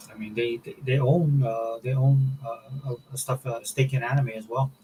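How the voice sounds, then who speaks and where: Man, podcast host, mid-pitch voice, rambling casual talk, faint noise behind. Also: fairly even intonation, moderate pace, dark tone, low-quality recording. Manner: rambling, many restarts and fillers. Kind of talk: casual chat.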